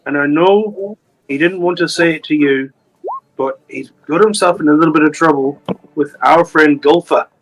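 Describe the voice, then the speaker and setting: A man playing a tabletop game, talking in character in a persuasive, smooth way. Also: fairly high pitch, moderate pace, slight background noise, rough recording. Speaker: player. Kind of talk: in-character roleplay talk.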